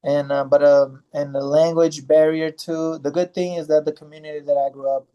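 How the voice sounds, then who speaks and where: A man's voice: a little high, little pitch movement, moderate pace, mellow, clean tone, highs cut off. A man in his thirties from Brazil, a fighter answering interview questions in a loose, rambling way with many fillers and restarts. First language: Portuguese.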